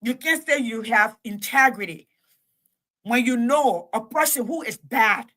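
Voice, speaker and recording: A woman hosting a call-in talk show, delivering an impassioned monologue with repeated phrases and drawn-out emphasis. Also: fairly high pitch, lively intonation, sharp tone. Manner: emphatic, repeats phrases, stretches key words. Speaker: host.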